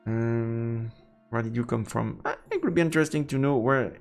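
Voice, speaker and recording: A man doing live let's play commentary and reading game text aloud, halting and repeating words as he goes. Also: medium pitch. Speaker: game streamer. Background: faint game music.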